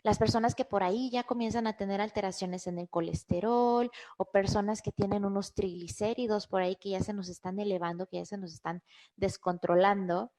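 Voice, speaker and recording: A woman's voice: mid-range voice, fairly even intonation, brisk, warm tone. Woman physician, webinar lecture over a computer mic, conversational with fillers. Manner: conversational, emphatic, with frequent fillers and restarts. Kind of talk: health lecture to an online audience.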